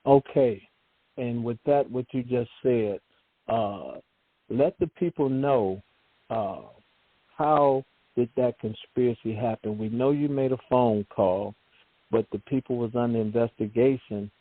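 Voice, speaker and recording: A man hosting a talk show, energetic and casual in his phrasing. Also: medium pitch, a little slow, no room sound. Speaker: host. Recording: dry, tinny phone line.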